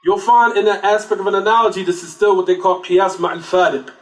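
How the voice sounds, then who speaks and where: A man's voice: high-pitched, steady tone, fairly quick. A man giving a religious lecture, often asking rhetorical questions and then answering them himself. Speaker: Islamic lecturer. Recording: echoey room, distant mic.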